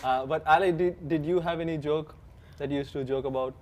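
A man's voice: on the high side, steady tone, brisk, darkish tone, slight background noise. A man with an Indian accent hosting a casual panel chat, joking, laughing often and restarting his sentences. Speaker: host.

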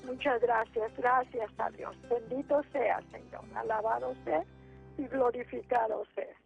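A woman's voice: a little high, steady tone, unhurried, creaky, faint music behind. A woman in her eighties with a Mexican accent, calling in to pray and share her troubles, devout and rambling with many restarts. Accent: Mexican Spanish. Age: 80s. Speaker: caller. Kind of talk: spoken prayer and testimony. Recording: tinny phone line.

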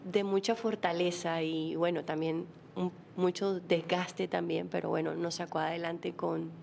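A woman, a guest telling her life story in a relaxed chat, laughing often. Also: on the low side, a little slow, gravelly, slight background noise. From Colombia. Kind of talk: casual interview chat.

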